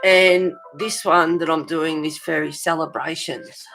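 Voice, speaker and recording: A woman with an Australian accent and a creaky voice, chatting informally and encouragingly as the host of a casual live stream, rambling a little. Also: low-pitched, steady tone, measured pace, loud music behind the voice. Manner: rambling, informal, encouraging, addressing viewers as guys.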